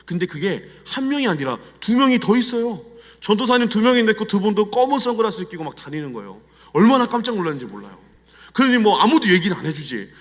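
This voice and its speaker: A middle-aged Korean pastor with a Chungcheong accent, telling personal stories in a confiding, animated sermon style. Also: male voice, high voice, fast. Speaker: guest pastor. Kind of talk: storytelling sermon. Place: church sanctuary. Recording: long church hall reverb.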